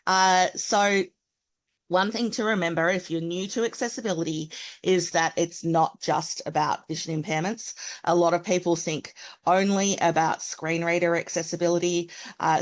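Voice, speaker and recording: A middle-aged woman with an Australian accent, giving a webinar presentation over a video call. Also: low-pitched. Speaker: presenter, accessibility expert.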